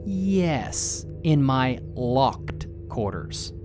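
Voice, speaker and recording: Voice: mid-range voice, big pitch swings, drawn-out words, over music. An actor playing a playful, teasing male mercenary commander with a flippant, slangy manner.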